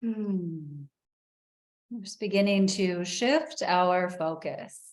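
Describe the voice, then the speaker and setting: A woman leading a guided breathing meditation in a soft, soothing way, with long pauses. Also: fairly low pitch, reverberant.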